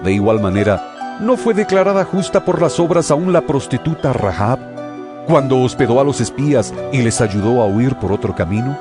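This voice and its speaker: An earnest apostle played by a man admonishes his brethren in a medium-pitched voice that rises and falls widely. The delivery is oratorical and emphatic, in the manner of a scripture audio drama, with music clearly playing behind it. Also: expressive, normal speed, natural voice. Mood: assertive.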